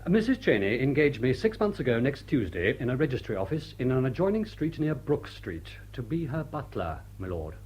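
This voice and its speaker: Husky, dry-witted butler. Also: male voice, medium pitch. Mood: deferential, guarded. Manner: measured, deadpan, formal. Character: suave, dry-witted butler, coolly deferential. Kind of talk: period stage comedy radio drama.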